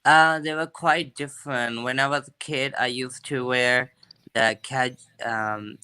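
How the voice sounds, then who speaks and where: A young man from Pakistan answering practice speaking-test questions over a video call. He pauses often and fills gaps with "uh" and "um". His voice is forceful and smooth. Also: medium pitch, fairly even intonation. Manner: hesitant, many fillers.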